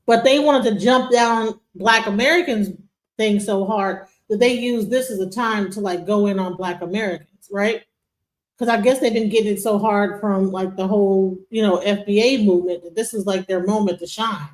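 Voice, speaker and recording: A woman's voice: medium pitch, moderate pace, roomy sound, rough recording. A woman giving opinionated commentary in a video clip, full of incredulous rhetorical questions.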